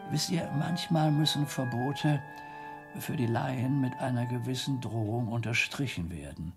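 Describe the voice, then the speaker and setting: Gravelly, solemn old abbot. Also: male voice, on the low side. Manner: solemn, guarded, hesitating before grave words. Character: worried, dignified older abbot of a monastery. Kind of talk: German radio drama acting, formal dialogue.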